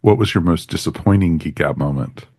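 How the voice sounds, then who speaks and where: A man's voice: low voice, brisk, darkish tone, breathy edge, dry recording, clean studio sound. A man hosting a podcast, putting questions to a guest in a casual, chatty way. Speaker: podcast host asking questions. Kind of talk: casual interview chat.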